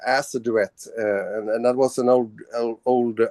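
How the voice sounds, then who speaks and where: Man, from Sweden, band vocalist, halting, many fillers, dry recording. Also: mid-range voice, steady tone, a little mellow, dry recording. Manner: hesitant, many restarts and fillers. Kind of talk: casual interview answers.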